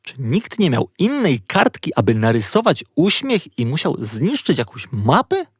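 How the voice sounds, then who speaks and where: A man narrating a children's story in an expressive, playful storytelling manner. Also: on the high side, measured pace, slightly rough, no room sound, clean studio sound.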